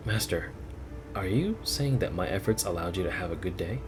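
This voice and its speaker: A man voicing a polite, devoted young maid in a gentle, formal way, in a game story. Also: low-pitched, expressive, brisk, over music. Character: soft-spoken, devoted young woman maid, polite and deferential.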